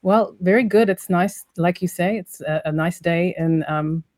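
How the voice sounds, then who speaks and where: A woman's voice: on the low side, a little slow, a little mellow, no echo. Woman, 40s, grew up in New Zealand; reflective, laughing podcast guest, dry sound. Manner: thoughtful, laughs easily, rephrases as she goes. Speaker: guest, university lecturer. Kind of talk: casual interview chat.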